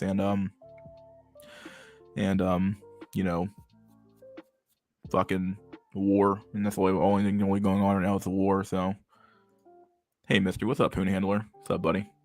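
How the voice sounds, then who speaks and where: A man in his twenties with a stammer and a lisp, chatting casually to his livestream chat, halting and restarting words and swearing freely. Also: low voice. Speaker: livestream host reading chat.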